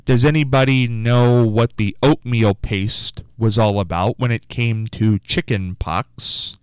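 A man's voice: medium pitch, steady tone, slow pace, clean tone, no echo, rough recording. A man hosting a morning call-in radio show. He talks in a rambling, musing way and keeps asking listeners to phone in. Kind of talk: casual call-in radio talk. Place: radio studio. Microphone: studio microphone.

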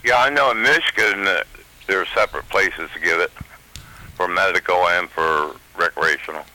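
A man's voice: low-pitched, moderate pace, sharp tone, poor audio. A man calling in to a radio talk show, plainspoken with casual grammar, arguing his point. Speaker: caller. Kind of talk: call-in radio conversation.

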